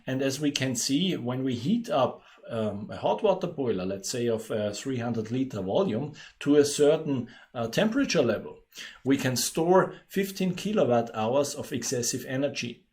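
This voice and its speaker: A native German-speaking man with an Austrian accent, hosting a webinar and explaining things in a measured way. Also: mid-range voice, expressive, a little mellow. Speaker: webinar host, company presenter. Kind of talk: webinar presentation.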